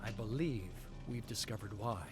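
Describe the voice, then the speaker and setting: A man streaming, reading game lore aloud in a slow, deliberate storytelling delivery. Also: on the low side. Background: clear background music.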